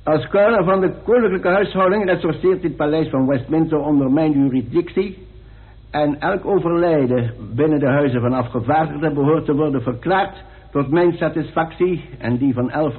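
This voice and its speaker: Tinny, pompous actor as high-voiced male coroner. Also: natural voice. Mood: solemn, formal. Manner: pompous, deliberate, orotund. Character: formal, solemn male coroner of the royal household. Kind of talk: radio drama acting, ceremonial speech. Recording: tinny band-limited old radio recording.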